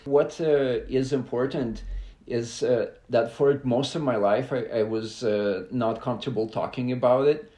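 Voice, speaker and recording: Halting, earnest man in an echoey room. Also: medium pitch, fairly even intonation, dark tone. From Belarus. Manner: halting, many "uh" fillers, earnest and open. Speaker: podcast guest, climber. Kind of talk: casual interview talk.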